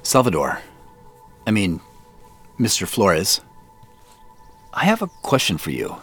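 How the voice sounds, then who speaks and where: A man's voice: fairly low pitch, huge pitch swings, slow pace, fairly bright tone, soft background music, voice pushed down, exaggerated voice. A man acting a polite, hesitant man seeking answers in an audio drama, correcting himself as he talks. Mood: hesitant, earnest. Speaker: audio drama lead actor. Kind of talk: naturalistic audio drama dialogue.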